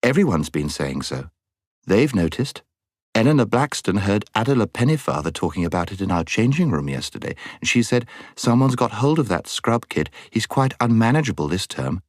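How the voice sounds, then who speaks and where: Male reader as touchy British schoolgirl for a storybook, blunt and outspoken, wide pitch swings, dry recording. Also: sing-song, normal speed, dry recording. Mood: candid.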